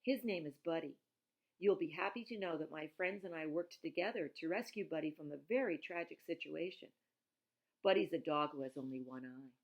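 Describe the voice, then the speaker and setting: A woman's voice: low-pitched, fairly quick, highs cut off. Lady reader doing a bold precocious little girl from a kids book, earnest n pert, talks super formal. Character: plucky, precocious young girl narrator, bold and formal in speech.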